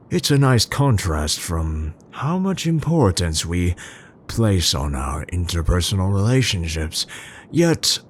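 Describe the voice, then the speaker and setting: A man acts out an awkward, tender older scientist in an ASMR roleplay. His voice sits low and moves slowly, with halting, formal musings that rise and fall expressively. A faint rumbling noise lies behind him. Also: exaggerated voice. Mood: tender, hushed. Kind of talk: ASMR roleplay, intimate scripted monologue to listener.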